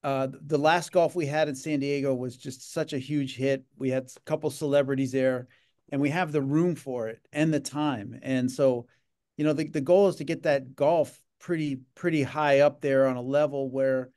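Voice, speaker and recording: A man's voice: medium pitch, fairly even intonation, moderate pace, darkish tone. Middle-aged man rambling on a video call. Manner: rambling, repeats words, many fillers. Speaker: gaming association leader. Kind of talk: casual video-call interview. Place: home office. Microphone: video call webcam.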